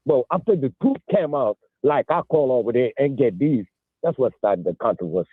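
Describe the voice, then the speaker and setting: Older male caller, in his sixties, from Senegal, husky voice on a phone line, emphatic. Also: medium pitch, animated, dry recording. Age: 60s. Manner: emphatic, halting, repeats himself.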